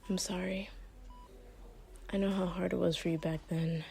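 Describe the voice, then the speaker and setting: A woman playing a doctor with a split persona, tender yet menacing, in a soft, hushed ASMR roleplay with a condescendingly sweet manner. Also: lowish voice, little pitch movement, a little hiss or hum. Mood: soothing, tender. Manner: slow, hushed, breathy delivery, condescendingly sweet. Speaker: voice performer.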